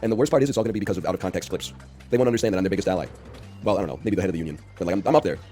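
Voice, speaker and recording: A man in his early thirties with a deep voice, hosting a casual gaming chat and telling rambling stories full of "like", in a dry, unenthusiastic way. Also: racing, mellow, soft background music. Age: early 30s. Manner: rambling, talky, heavy on filler "like"s. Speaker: streamer and game host.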